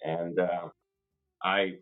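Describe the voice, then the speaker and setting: An older man, a labor lawyer, answering interview questions in a halting way with frequent restarts. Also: deep voice, level tone. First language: English. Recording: tinny phone-like line.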